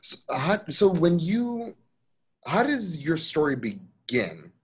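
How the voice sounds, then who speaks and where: Husky man interviewing, halting speech. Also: fairly high pitch, huge pitch swings. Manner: halting, many ums and restarts. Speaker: magazine interviewer. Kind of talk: casual video-call interview. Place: home office indoors. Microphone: video call mic.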